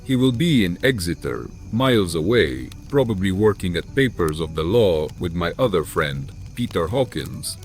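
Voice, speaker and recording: Gothic audiobook villain: proud elderly foreign Count, low voice, highly varied intonation, courtly and sinister. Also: male voice, lively intonation, moderate pace, hardly any pauses, soft background music. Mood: courteous, probing. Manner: formal, archaic, dramatic phrasing. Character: courtly, menacing old foreign Count, proud vampire nobleman. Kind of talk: dramatized gothic audiobook acting.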